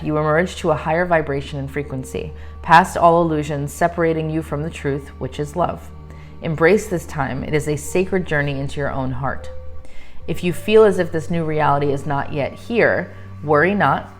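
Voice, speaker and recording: Low-voiced woman reading tarot messages. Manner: switches between solemn card reading and chatty asides. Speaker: tarot reader. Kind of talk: reading tarot card messages aloud to camera. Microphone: microphone.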